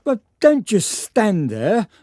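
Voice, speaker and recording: Imperious noblewoman, commanding, anxious, slow, hugely animated intonation, radio drama. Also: mid-range voice, exaggerated voice. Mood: impatient, alarmed. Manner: commanding, theatrical. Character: imperious, anxious noblewoman, mother of a grown daughter.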